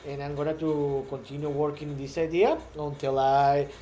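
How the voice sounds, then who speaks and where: A man from Mexico, a native Spanish speaker with a Mexican accent, talking about his startup in a halting way with many repeated words and restarts. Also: a little high, fairly even intonation, mellow, a little hiss or hum. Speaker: startup founder. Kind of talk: casual monologue.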